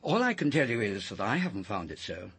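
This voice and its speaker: An actor playing a kindly, earnest older priest giving measured counsel in a radio drama. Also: male voice, fairly low pitch, sing-song, creaky, a bit bright, breathy edge, full character voice. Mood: kindly, assured.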